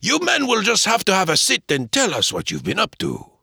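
A genial, shrewd shopkeeper , voiced by a male narrator, booms in a raised voice. He sounds hearty and welcoming. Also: wildly swooping pitch, fairly quick, vocal fry, pushed and bright, husky, dry recording, studio quality, heavily acted.

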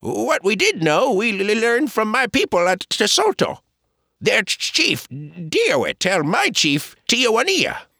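A man voicing an earnest Caddo man who stammers and speaks halting English. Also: sits high, huge pitch swings, vocal fry, bright, forceful tone, dry recording, studio quality, pitched above the performer's own voice, exaggerated voice. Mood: urgent.

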